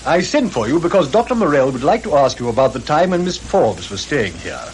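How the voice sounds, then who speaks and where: An actor playing a businesslike hotel manager in an old-fashioned radio drama style. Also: male voice, a little high, big pitch swings, a little fast. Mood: courteous, businesslike. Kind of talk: vintage British radio drama acting. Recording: noisy, clicky old radio recording.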